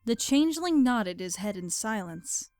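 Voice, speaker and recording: A woman's voice: fairly high pitch, big pitch swings, unhurried, a little mellow, no echo. A woman reading scripted story narration as the narrator of an audio drama.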